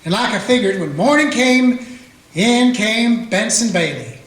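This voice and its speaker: A man's voice: high voice, animated, a little slow, background noise. A man playing a plain-spoken small-town Western sheriff who also narrates, with folksy grammar. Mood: steady. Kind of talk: amateur radio play acting with narration. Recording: roomy echo.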